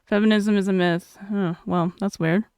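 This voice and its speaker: A woman's voice: mid-range voice, steady tone, normal speed, a little mellow, clean tone, no echo, clean studio sound. A young woman streamer chatting casually, reading chat aloud and laughing often.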